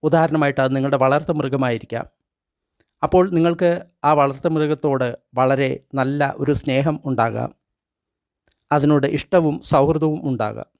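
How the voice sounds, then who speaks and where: A man reads a guided meditation script in measured, instructional short phrases with pauses between them. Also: medium pitch, darkish tone, no room sound, studio quality.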